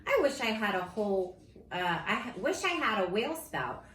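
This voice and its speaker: A woman reading a children's storybook aloud in a silly, theatrical, expressive way, like a teacher at story time. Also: medium pitch, moderate pace. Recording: roomy echo with faint background noise.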